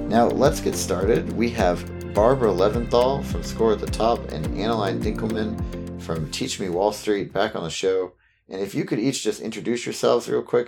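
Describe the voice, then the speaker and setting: A man's voice: lowish voice, creaky. A man hosting a podcast, upbeat and casual, introducing guests and asking questions. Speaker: podcast host.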